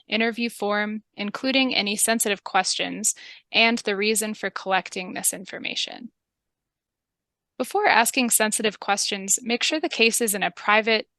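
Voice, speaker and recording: A woman with a calm, polite and methodical manner, giving instructions in a scripted public health training video. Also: mid-range voice, no echo, clean studio sound. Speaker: public health interviewer and narrator.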